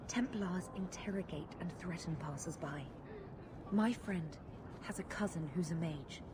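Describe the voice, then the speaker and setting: A woman's voice: medium pitch, noisy background, lightly acted. An actress playing a worried, devout townswoman in a video game, speaking in a hesitant, formal, old-fashioned way. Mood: uneasy. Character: anxious devout townswoman pleading for her missing brother. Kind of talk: video game dialogue acting.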